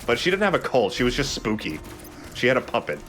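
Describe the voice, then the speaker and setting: A man's voice: medium pitch, wildly swooping pitch, fast, fairly bright tone, a little hiss or hum. A man giving informal, explanatory and jokey commentary while playing a shooter game. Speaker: let's player giving commentary. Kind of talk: casual gameplay commentary over a shooter.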